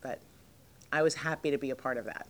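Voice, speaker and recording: A middle-aged woman with a low voice, jet-lagged, chatting in an interview as the guest actress, rambling with lots of fillers and restarts. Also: fast talker. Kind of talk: casual interview chat.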